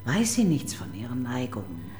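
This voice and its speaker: An actress playing a sharp, sardonic homicide detective in a radio crime drama, brisk, dry and probing. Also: female voice, extremely low pitch, wildly swooping pitch, a crowd in the background, exaggerated voice. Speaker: detective chief inspector.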